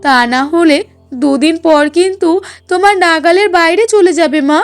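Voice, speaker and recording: A woman's voice: very high voice, normal speed, soft background music. A woman voicing a spiteful, whiny young sister-in-law in a cartoon, scolding and demanding. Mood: indignant, complaining. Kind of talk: Bengali cartoon dialogue.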